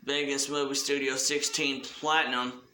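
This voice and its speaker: A man giving a casual, unscripted shout-out announcement as a hobby video creator, talking in a halting, deliberate way. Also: medium pitch, level tone, roomy sound, slight background noise, poor audio.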